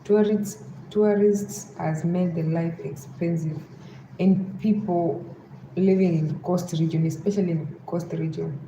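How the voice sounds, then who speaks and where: A young woman with a Western Kenyan accent hosts a casual livestream, her voice sitting slightly low. She loops back again and again to pleas for likes and subscribers. The sound is roomy and echoey, with clear background noise. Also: fairly even intonation, unhurried, warm tone. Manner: repeats pleas to subscribe over and over. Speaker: livestream host.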